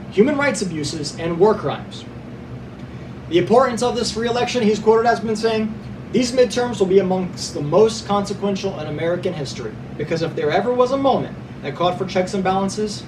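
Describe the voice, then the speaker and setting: A young male podcast host reading prepared news notes, sometimes stumbling and correcting himself, with casual asides to listeners. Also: sits high. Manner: reads from notes, stumbles and corrects himself, stresses being unbiased. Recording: distant mic with clear background noise.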